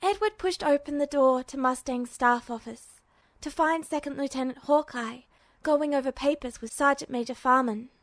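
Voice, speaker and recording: A woman with a fairly high voice narrating a story in a steady, storytelling manner. The recording is close and dry with no room sound. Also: normal speed, no echo. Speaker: podfic reader. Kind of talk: scripted fiction narration.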